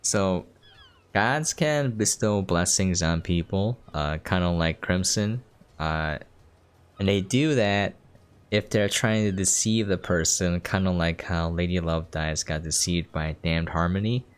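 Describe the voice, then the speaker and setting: A man's voice: low voice, unhurried, smooth. A man giving casual gameplay commentary, thinking aloud as he goes. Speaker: game streamer. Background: game music.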